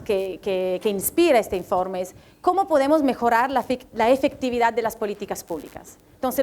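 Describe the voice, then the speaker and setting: A woman from Italy speaking Spanish with an Italian accent, presenting a report at a public event and often pausing to search for words. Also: on the high side, a little mellow. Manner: hesitant, searching for words, light self-deprecating laughs. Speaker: World Bank economist presenting a report.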